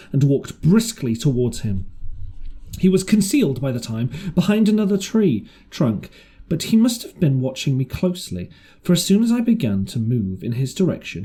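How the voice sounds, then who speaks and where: A man's voice: medium pitch, mellow, husky. A man reading an adventure novel aloud as scripted audiobook narration. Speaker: audiobook reader.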